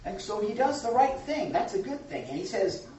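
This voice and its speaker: A man's voice: high-pitched, very animated, brisk, darkish tone, slight background noise. A man leading an informal Bible study, talking to the group and asking questions, with a frequent chuckle. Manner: conversational, asks the group questions, chuckles often. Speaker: pastor. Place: church room with a group. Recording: echoey room from far off, dull and lo-fi.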